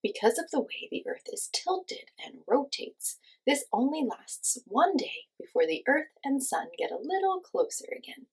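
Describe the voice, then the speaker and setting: A woman teaching young children, giving simple encouraging instructions and asking questions, with pauses for answers. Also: sits high, big pitch swings, vocal fry, rough recording. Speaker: children's class teacher and host. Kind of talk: children's lesson with guided movement.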